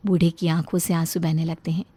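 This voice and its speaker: A woman's voice: sits low, flat delivery, brisk, dark tone, breathy edge, no echo. A woman narrating a scripted story.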